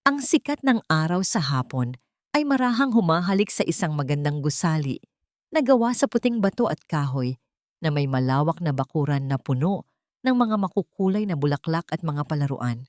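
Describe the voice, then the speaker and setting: A woman's voice: deep voice, very animated, normal speed, a little mellow, no echo, studio quality. A woman reading a scripted dramatic story as its narrator.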